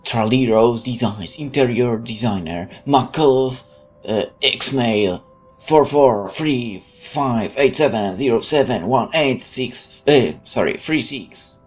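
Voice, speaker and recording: A man's voice: mid-range voice, expressive, slow, fairly bright tone, soft background music. A man playing through a game, reading its text aloud and adding sarcastic asides. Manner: sarcastic, interjecting quips. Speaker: let's player.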